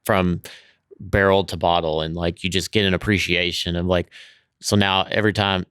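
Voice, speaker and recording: A man's voice: deep voice, little pitch movement, moderate pace, fairly bright tone, clear, resonant tone, no echo, studio quality. A man co-hosting a podcast, laid-back and joking in casual chat. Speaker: podcast co-host.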